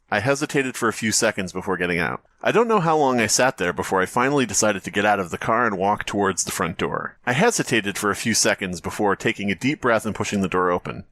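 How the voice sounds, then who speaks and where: A man's voice: on the low side, lively intonation, a little fast, a bit bright. A man co-hosting a podcast, reading a horror story aloud and breaking off into sarcastic, profane banter. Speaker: podcast co-host.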